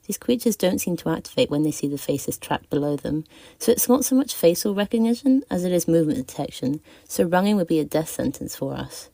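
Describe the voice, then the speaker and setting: Low, creaky-voiced woman reading prepared remarks. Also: warm tone, no echo. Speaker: listener sending in a voice message. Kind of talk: prepared, scripted opinion piece.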